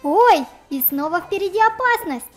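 A children's gaming channel host giving let's play commentary and shout-outs in a cutesy, presentational way. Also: extremely high pitch, lively intonation, normal speed. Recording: music bed under the voice. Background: background music.

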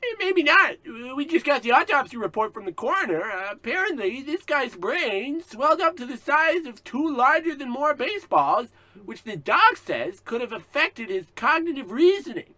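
A matter-of-fact police officer played by a woman in a radio comedy. The voice is high, sharp and animated, with a very dry sound. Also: normal speed, no echo, heavily acted. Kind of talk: parody of old radio drama.

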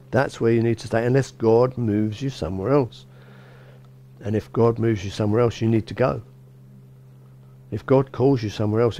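Mellow-voiced bishop chatting a sermon. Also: male voice, mid-range voice. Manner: conversational, many restarts and "you know". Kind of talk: informal sermon. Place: small church with a lectern.

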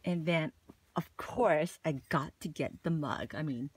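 A woman's voice: deep voice, a little slow, slightly rough, no echo. A woman vlogging casually about her shopping haul, chatty and gushing, with plenty of "like" and "you know". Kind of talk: casual haul vlog chat.

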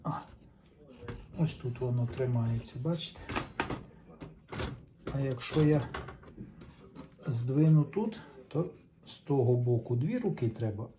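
A man's voice: medium pitch, animated, takes it slowly, pauses often, background noise. A man giving casual hands-on commentary, chatting to viewers and thinking aloud as he works. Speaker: host of a tape recorder restoration video.

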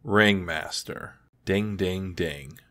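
Man as a low, wry American hero. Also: very slow, bright, forceful tone, some chatter behind, a little hiss or hum, exaggerated voice. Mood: sardonic. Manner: dry, sardonic, terse. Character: world-weary, wry American crime-fighting hero narrating his caper. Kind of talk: noir-like comic narration. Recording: music behind the voice.

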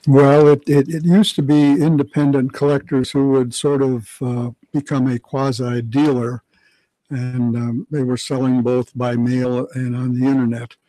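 An older man with a mellow voice, explaining in a matter-of-fact, knowledgeable way. He sounds dry and close, in a studio setting. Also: mid-range voice, little pitch movement, measured pace, dry recording, low-quality recording. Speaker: radio historian guest. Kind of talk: informative conversation. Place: radio studio.

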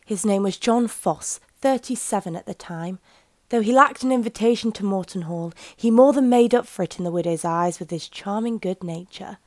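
A woman's voice: mid-range voice, normal speed, lightly acted. Woman doing wry storyteller for a period whodunit radio play, medium voice. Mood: wry narration. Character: wry female storyteller of a period murder mystery. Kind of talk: scripted radio play narration.

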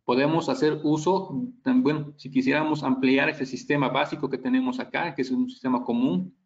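A man giving a technical slide presentation as a product trainer, halting and explanatory, checking for agreement with tag questions. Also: medium pitch, steady tone, gravelly, muffled recording.

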